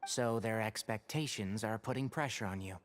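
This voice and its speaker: A man's voice: fairly low pitch, moderate pace, a little hiss or hum, lightly acted. A man playing a level-headed, frank young researcher in a video game, speaking in an articulate, matter-of-fact way. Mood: calm, frank. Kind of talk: scripted video game dialogue.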